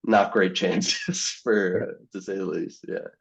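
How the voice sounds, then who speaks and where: A man's voice: sits low, fairly even intonation, takes it slowly, creaky, slightly rough. A young man, a medical student, answering interview questions in a rambling way, full of "you know" and restarts. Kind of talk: casual podcast interview.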